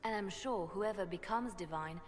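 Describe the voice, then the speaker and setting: A woman playing a grave, thoughtful church adviser in a fantasy game, speaking in a measured, earnest way. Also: mid-range voice, lightly acted. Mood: earnest resolve. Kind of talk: scripted fantasy game dialogue. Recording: long hall reverb, faint noisy ambience.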